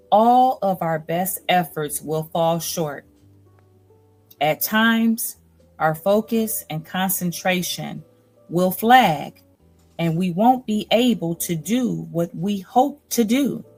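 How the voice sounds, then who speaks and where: A woman reads a nonfiction book aloud as a storytime host. Her voice sits slightly low and moves at a very slow, deliberate pace. She sounds earnest and instructive, with faint music playing underneath. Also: animated. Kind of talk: audiobook-like reading of nonfiction with earnest commentary. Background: music bed.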